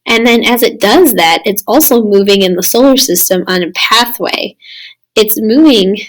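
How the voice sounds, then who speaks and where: A woman with a slightly raspy voice, recovering from losing it, giving a casual recorded slideshow lesson as a science teacher. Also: medium pitch, expressive, normal speed, rough recording. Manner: casual, explanatory, addressing students. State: recovering from lost voice.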